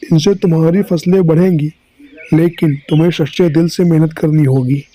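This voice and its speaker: A man's voice: fairly high pitch, steady tone, moderate pace, warm tone, close to an everyday voice, poor audio. A man playing a kindly, pious elderly villager who gives counsel in a cartoon story. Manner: benevolent, counselling.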